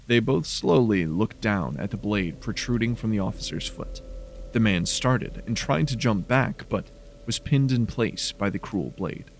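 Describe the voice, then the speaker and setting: A male narrator reads dark horror fiction in a lowish, creaky voice. His delivery is scripted, dramatic and very unhurried, with faint noise behind him. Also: expressive.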